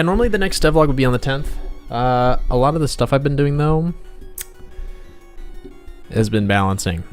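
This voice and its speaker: A man hosting a casual livestream as he draws, rambling to viewers and muttering asides to himself. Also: medium pitch. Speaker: livestream host, game developer and artist. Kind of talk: casual livestream chat while drawing. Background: background music.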